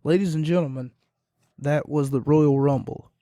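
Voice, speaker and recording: A man hosting a solo podcast, rambling and self-deprecating, his voice going hoarse and quiet. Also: a little high, steady tone, moderate pace, no echo, studio quality. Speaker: podcast host. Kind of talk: casual solo podcast recap.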